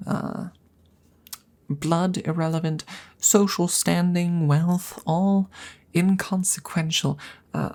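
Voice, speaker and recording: A woman voicing a polite, earnest, slightly awkward female dragon in an intimate ASMR roleplay, speaking softly and formally with hesitant stammers and frequent whispering. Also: fairly low pitch. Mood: businesslike, flustered. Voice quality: breathy, soft, often slipping into whisper.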